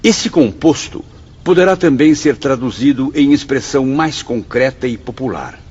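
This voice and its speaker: A dignified spirit pupil in a Brazilian radio drama, reciting solemnly with wide swings of pitch. His husky voice is formal and deliberate, carried in long hall reverb. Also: lively intonation, darkish tone, muffled recording. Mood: solemn, reverent. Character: Camilo, earnest, dignified male spirit pupil reading a lesson aloud.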